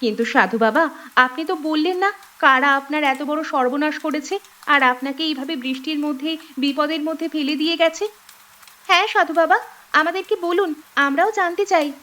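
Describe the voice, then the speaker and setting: A woman voicing high-pitched young village sisters. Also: fairly quick, bright, forceful tone. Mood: concerned. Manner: urgent, caring and earnest. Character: young village girl sisters, caring and brisk. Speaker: voice actor. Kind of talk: cartoon voice acting.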